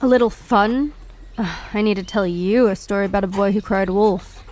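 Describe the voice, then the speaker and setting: A woman's voice: mid-range voice, normal speed, noisy background, lightly acted. A woman playing a bossy, wary park guardian in an audio drama, speaking in a curt, exasperated, commanding way. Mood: stern.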